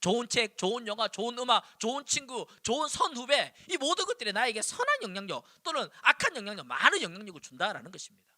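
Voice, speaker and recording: A youth pastor in his forties, a man from Daegu, preaches with animated storytelling and punchy repetition, in Seoul Korean with slight Daegu traces. His voice sits very high and sounds bright and forceful, and it swings widely as he talks at a fast clip. The recording is close and dry. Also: no echo. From Daegu, South Korea. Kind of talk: sermon to high schoolers. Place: church.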